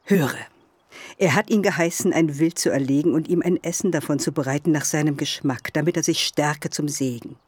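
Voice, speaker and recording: A woman's voice: low voice, brisk, darkish tone, breathy edge, no echo. An actress playing an imperious, scheming biblical mother in a literary radio drama, speaking tersely and at times in incantatory whispers. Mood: commanding, urgent.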